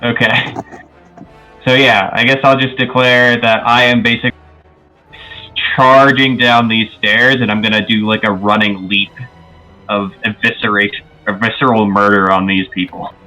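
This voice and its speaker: A man's voice: on the low side, flat delivery, normal speed, pushed and bright, soft background music, rough recording. A man playing a tabletop game, describing his character's actions with hesitant fillers. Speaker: player.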